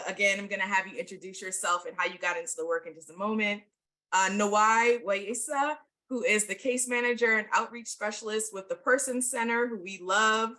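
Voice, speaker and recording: A woman's voice: mid-range voice, pushed and bright. A woman hosting and moderating an online panel discussion, introducing the guests in an enthusiastic, casual way with frequent fillers. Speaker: host, moderator.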